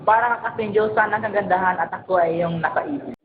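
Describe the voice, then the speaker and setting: A man's voice: sits high, fast, phone-quality sound, background noise, close to an everyday voice. A man playing an ardent, courtly young warrior in an amateur radio drama. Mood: smitten. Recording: music under the voice.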